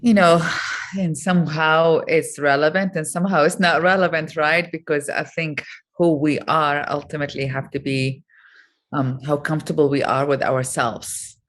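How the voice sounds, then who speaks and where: A middle-aged woman from Iraq, a guest on an interview, speaking thoughtfully with many pauses and fillers. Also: low-pitched, steady tone. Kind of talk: reflective interview talk.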